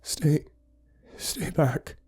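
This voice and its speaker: A man's voice: drawn-out words, darkish tone. A man voicing a terrified, desperate man in a horror story, pleading in a strained, cracking, whimpering voice. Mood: whimpering fear. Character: terrified man pleading as his body crumbles away. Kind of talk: horror audiobook character acting.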